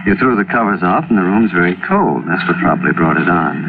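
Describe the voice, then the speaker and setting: An actor playing a devoted, soothing and slightly patronizing husband in an old-time radio mystery. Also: male voice, deep voice, animated, fast, gravelly. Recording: tinny, noisy vintage radio recording.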